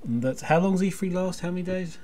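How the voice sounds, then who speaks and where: A man's voice: high voice, brisk, dark tone. A man hosting a podcast in casual chat, with a wry, self-deprecating, grumbling manner. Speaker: podcast host.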